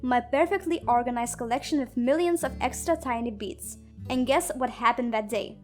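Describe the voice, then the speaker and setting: Young woman, high voice, sarcastic storytime narration, soft music behind. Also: moderate pace. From Lithuania. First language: Lithuanian. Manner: sarcastic, dramatic and self-mocking. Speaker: animator and narrator. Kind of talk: comedic animated storytime narration.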